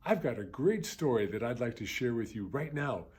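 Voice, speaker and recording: A man in his fifties, an elementary school teacher, reading a children's story aloud in a gentle, lively way. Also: medium pitch, moderate pace, breathy edge. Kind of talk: children's read-aloud narration.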